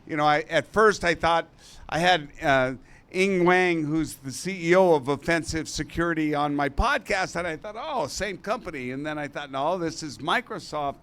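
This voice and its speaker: An upbeat man moderates a conference panel, casual and chatty as he introduces the topic. His voice sits a little higher than most men's, and he talks into a handheld mic on stage. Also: normal speed, fairly bright tone. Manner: upbeat, informal.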